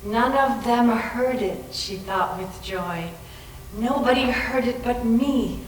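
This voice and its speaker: A woman's voice: on the high side, slow. A woman reading dramatically as an unhinged, elated young woman in a haunted house, with dreamy, theatrical delivery. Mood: dreamy elation. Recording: echoey room, distant mic, faint noise.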